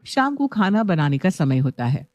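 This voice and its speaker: A woman's voice: low-pitched, big pitch swings, normal speed, darkish tone, no echo, clean studio sound. A woman working as narrator, reading a scripted story.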